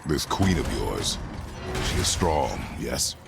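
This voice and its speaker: An actor playing a stern, gruff warrior in a video game, gravelly and rumbling, speaking in terse, measured sentences. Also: male voice, big pitch swings, over music, heavily acted. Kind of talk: video game dramatic acting.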